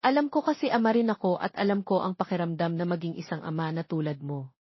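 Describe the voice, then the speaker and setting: A woman voicing a gentle, sincere, supportive middle-aged father in a narrated love story. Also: lowish voice, fast talker, husky, no echo, dull audio. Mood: understanding.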